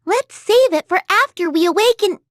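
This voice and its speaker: A woman playing a small, brisk female fairy guide in a video game, bossy and chirpy as she instructs. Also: extremely high pitch, lively intonation, no echo, clean studio sound. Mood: impatient.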